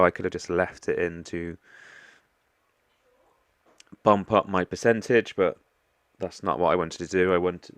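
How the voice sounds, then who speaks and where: A man hosts a casual investing vlog, his deep voice thick and stuffy from a heavy cold. He pauses often, restarting words and filling gaps with ums. The sound is dry and close, with no room around it. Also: a bit bright, dry recording. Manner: hesitant, many ums and restarts. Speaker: investing vlogger. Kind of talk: casual portfolio walkthrough.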